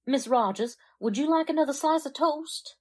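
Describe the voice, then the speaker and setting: A woman's voice: high-pitched, moderate pace, natural voice. A woman acting a chatty, flustered, homey small-town mother in a 1940s-style radio drama. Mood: hospitable. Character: fussy, starstruck small-town mother and hostess.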